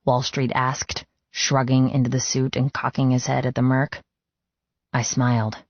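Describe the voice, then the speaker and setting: A man's voice: mid-range voice, completely flat delivery, a little slow, dry recording, muffled recording. A man reading first-person fiction as a dramatic, scripted audiobook narration.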